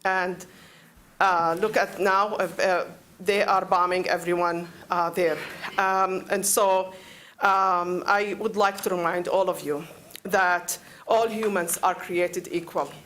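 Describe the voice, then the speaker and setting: A woman in her forties from Palestine with a Palestinian Arabic accent, making an unscripted plea at a council meeting, halting and often searching for words. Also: mid-range voice, a bit bright, a little hiss or hum. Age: 40s. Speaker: public commenter at a council meeting. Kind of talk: unscripted public speech, pleading.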